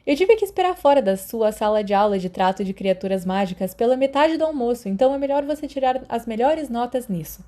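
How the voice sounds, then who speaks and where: A woman's voice: fairly high pitch, animated, brisk, few pauses, dark tone, pitched above the performer's own voice, close to an everyday voice. A Brazilian woman voicing a cocky, theatrical teenage boy in a storybook reading. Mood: imperious.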